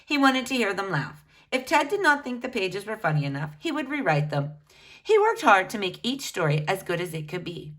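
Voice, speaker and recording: Woman reading to children, very lively intonation. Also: mid-range voice, a little fast. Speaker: read-aloud storyteller for children. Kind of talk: reading a children's biography picture book aloud.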